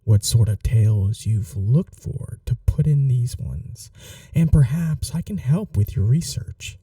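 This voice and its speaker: A man playing a gentle, old-world vampire gentleman in an intimate ASMR roleplay, speaking in a polite, soothing, softly formal way. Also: medium pitch, lively intonation, unhurried, no echo, distant mic. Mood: earnest, wistful.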